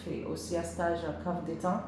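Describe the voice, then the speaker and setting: A woman's voice: lowish voice, little pitch movement, normal speed. A middle-aged woman from Kenya with a Kenyan accent, a native English speaker answering job interview questions in hesitant French, searching for words with many fillers. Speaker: job seeker. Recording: very echoey room with noise.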